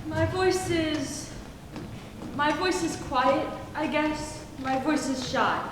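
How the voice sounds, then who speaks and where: A woman's voice: high-pitched, takes it slowly, background noise. A young woman playing a shy new student. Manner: hesitant, quiet. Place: stage in a large hall. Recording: echoey hall from far off.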